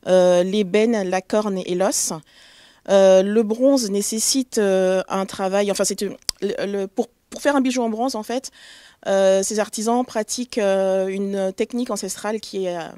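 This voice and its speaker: Woman from togo, interview guest, halting speech full of fillers, dry close sound. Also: mid-range voice, dry recording. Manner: hesitant, many fillers, warm and proud. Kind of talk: interview answers.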